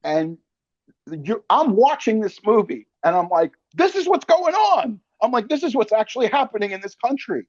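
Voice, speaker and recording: A man's voice: high-pitched, big pitch swings, moderate pace, darkish tone, no room sound. An American man in his forties hosting a casual interview, rambling in slangy, conversational language, on slightly dull audio. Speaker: podcast host, interviewer.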